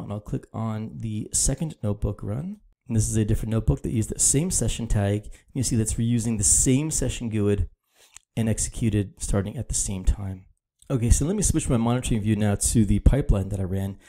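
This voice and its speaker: A man presenting a casual screen-recorded software tutorial, walking through steps in a steady, explanatory way. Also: fairly low pitch, brisk. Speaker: product demo presenter.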